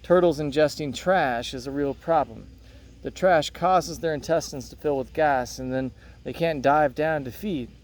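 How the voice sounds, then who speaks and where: A man reading a play aloud as a serious, caring veterinarian, explaining in a measured way. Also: on the high side, natural voice. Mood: matter-of-fact. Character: Doctor Hayes, serious caring turtle veterinarian.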